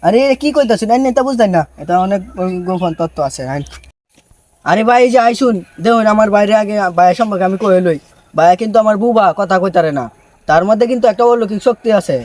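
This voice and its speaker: Pushy scheming big-brother huckster played by a male actor, very high voice, rapid urgent patter, comic skit. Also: a little mellow, natural voice. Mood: persuasive, hustling. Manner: urgent, repetitive salesman patter. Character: pushy, scheming elder brother hustling a fake faith healer. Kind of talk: amateur comedy skit acting.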